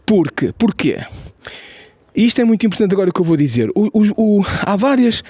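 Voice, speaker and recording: A man with a European Portuguese accent giving an unscripted opinion monologue, halting and repetitive with many restarts and emphatic stress. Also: high-pitched, big pitch swings, warm tone, dry recording. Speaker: video commentator.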